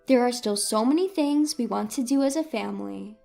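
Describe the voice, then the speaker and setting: A woman's voice: a little high, brisk, vocal fry, mellow, soft background music. A woman reading a scripted first-person story as a dramatic narrator.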